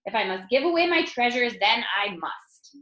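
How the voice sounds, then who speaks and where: A woman's voice: a little high, expressive, normal speed, bright, forceful tone, lo-fi sound. A woman voicing an emotional, grand storybook king with dramatic exclamations. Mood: grudging. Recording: roomy, echoing room sound.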